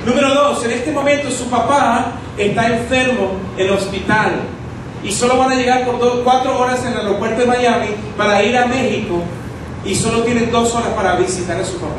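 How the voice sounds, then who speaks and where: A man's voice: extremely high pitch, fairly quick. A man in preaching a sermon to a congregation, teaching through rhetorical questions. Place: church hall with congregation. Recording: echoey hall, distant mic, noisy.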